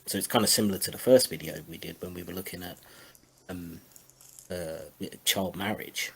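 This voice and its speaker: A man giving casual analysis in a tentative, measured manner as a panelist. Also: sits low, a bit bright, low-quality recording. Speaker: panelist on a commentary show. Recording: faint background noise.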